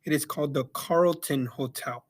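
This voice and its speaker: Young male reviewer, slightly high voice, halting and filler-heavy delivery, casual. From El Salvador. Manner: hesitant, many ums and restarts. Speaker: book reviewer. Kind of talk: casual spoken book review. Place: Yakima, Washington.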